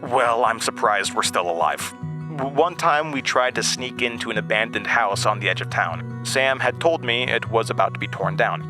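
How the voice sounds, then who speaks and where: A man's voice: medium pitch, expressive, moderate pace, over music, lightly acted. Man playing young Midwestern storyteller, hesitant, rambling, conversational, uneasy, audio drama testimony. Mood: nostalgic. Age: young adult. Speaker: audio drama lead character.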